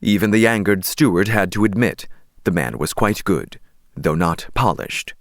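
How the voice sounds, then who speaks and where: A man's voice: lowish voice, expressive, normal speed, no room sound, studio quality. A man narrating an audiobook story in a scripted storytelling style.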